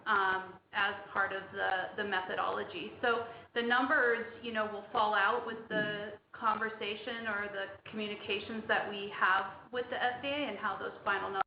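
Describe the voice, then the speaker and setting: Gravelly, even-toned woman on echoey call. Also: medium pitch, normal speed, few pauses. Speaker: company executive. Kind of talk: unscripted explanation on a conference call. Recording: muffled, echoey, noisy conference line.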